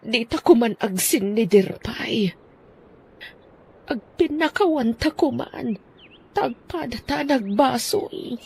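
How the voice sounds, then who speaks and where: A woman playing slow-spoken remorseful wife Erma. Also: on the high side, animated, vocal fry, a little mellow, a little hiss or hum, exaggerated voice. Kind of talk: Ilocano radio drama acting.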